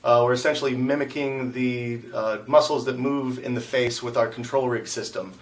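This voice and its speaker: A male animator talks in a casual interview. His voice is medium in pitch and sounds somewhat distant from the microphone, with informal, conversational delivery. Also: steady tone, normal speed.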